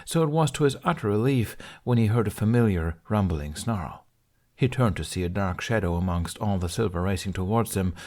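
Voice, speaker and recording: A man reading a fan fiction story aloud with steady, expressive storytelling. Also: lowish voice. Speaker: podfic reader. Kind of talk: fanfiction audiobook narration.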